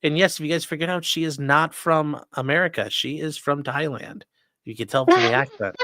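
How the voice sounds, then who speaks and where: A man's voice: fairly high pitch, a little fast, sharp tone, dry recording. A man hosting a livestream, joking through sponsor reads and giving blunt, sarcastic opinions. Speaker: livestream host, defense attorney. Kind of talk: casual livestream commentary.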